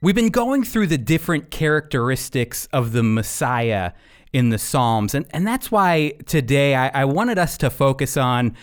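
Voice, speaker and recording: A man hosting a radio program and teaching the Bible in an emphatic, deliberate way. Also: mid-range voice, very animated, clean tone.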